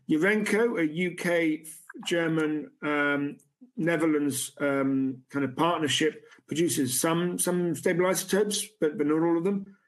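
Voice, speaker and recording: Halting CEO fielding investor questions. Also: male voice, a little high. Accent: non-American. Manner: halting, many restarts and fillers. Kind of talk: conference Q&A. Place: room with a city view at night.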